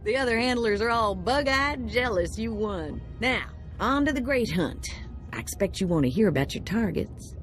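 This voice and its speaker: An actress playing an upbeat, streetwise female handler who briefs a hunter in a brisk, breezy way. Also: a little high, animated, soft background music, close to an everyday voice.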